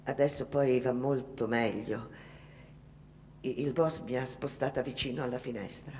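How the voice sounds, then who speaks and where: A woman's voice: a bass voice, fairly even intonation, moderate pace, a little mellow. An actress playing a timid, devout and trusting young immigrant factory girl, hesitant and earnest as she confides in her mother. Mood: earnest, accepting. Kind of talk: theatrical radio monologue. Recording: long hall reverb, faint noise.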